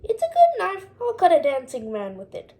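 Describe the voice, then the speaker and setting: A boy squeaking as a doubtful young friend. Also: huge pitch swings, normal speed, warm tone, exaggerated voice. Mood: curious.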